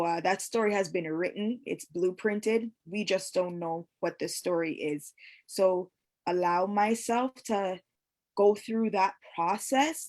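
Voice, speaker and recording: A woman speaking as a panellist in an online discussion, thoughtful and hesitant, pausing often. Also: lowish voice, darkish tone. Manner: thoughtful, hesitant, many pauses. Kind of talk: reflective panel talk. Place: online video call.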